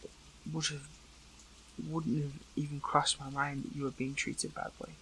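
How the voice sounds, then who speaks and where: A man playing an earnest, hurt captive superhero in an audio roleplay, speaking in halting, pleading phrases. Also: a little high, little pitch movement, bright, forceful tone, slight background noise, poor audio. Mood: remorseful. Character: captive male superhero, earnest, hurt, questioning an old friend. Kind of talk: dramatic audio roleplay acting, one side of a dialogue.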